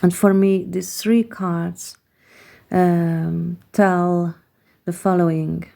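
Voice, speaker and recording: Slow, gravelly Hungarian-accented woman. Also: fairly low pitch, level tone, warm tone. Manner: hesitant, searching for words with many pauses. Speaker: tarot card reader. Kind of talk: slow, reflective card reading.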